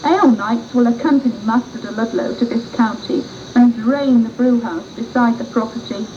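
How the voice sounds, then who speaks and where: A woman reads as a pious elder of doom in a radio story, solemn and portentous. The voice is mellow, on a muffled old tape. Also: a little high, moderate pace, slight background noise, close to an everyday voice. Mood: solemn foreboding.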